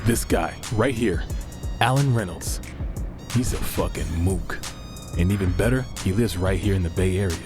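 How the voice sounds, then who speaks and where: Actor as low-voiced brash, impatient schemer. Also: male voice, moderate pace, music in the background, close to an everyday voice. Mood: scheming. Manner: blunt, slangy, pushy. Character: impatient, brash, sarcastic schemer bent on revenge. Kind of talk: naturalistic fiction podcast acting.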